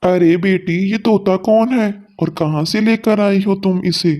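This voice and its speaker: A man's voice: high-pitched, measured pace, darkish tone. A man voicing a kindly, earnest father sparrow in a cartoon story, with a reassuring manner.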